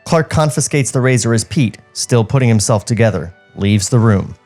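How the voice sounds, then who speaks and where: A male podcast co-host reading a script in a studio, with a medium-pitched voice. He moves between narration and casual chat, over background music. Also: expressive, moderate pace, a little mellow.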